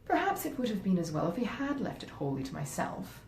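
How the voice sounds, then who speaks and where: In a roomy space, a woman narrator plays a grave, well-meaning gentleman from a storybook classic. He deliberates earnestly and a little pompously, in a mellow voice with lively intonation. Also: creaky. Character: grave, well-meaning but easily swayed gentleman husband. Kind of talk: acted dialogue in a classic novel reading. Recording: roomy echo, faint rumbling noise.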